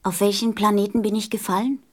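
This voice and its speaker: A woman's voice: mid-range voice, level tone, fast talker, a little mellow, close to an everyday voice. A young boy , played by a woman in a radio play. He is innocent and childlike, asking his questions earnestly, with a wistful curiosity. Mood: curious.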